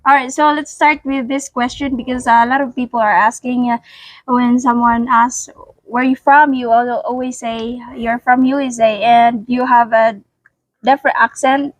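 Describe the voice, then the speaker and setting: A woman's voice: on the high side, steady tone, moderate pace, no echo, poor audio. A woman from the Philippines with a Filipino accent, asking questions as an informal interviewer in a casual chat.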